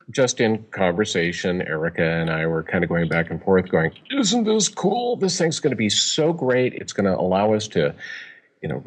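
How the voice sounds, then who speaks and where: Male guest, middle-aged, very low pitch, loose rambling storyteller. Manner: rambling, many fillers. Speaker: guest author. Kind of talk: casual interview chat.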